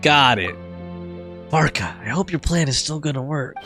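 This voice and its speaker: A man's voice: fairly high pitch, lively intonation, moderate pace, pushed and bright. A man giving casual gameplay commentary, muttering informal reactions to himself as he plays. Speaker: game streamer playing and reacting. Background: game music.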